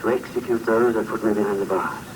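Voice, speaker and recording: Low, menacing ex-convict played by a man. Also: fairly even intonation, natural voice. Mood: brooding menace. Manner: deliberate, darkly joking. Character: vengeful ex-convict forger, menacing and theatrical. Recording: distant, noisy old film soundtrack.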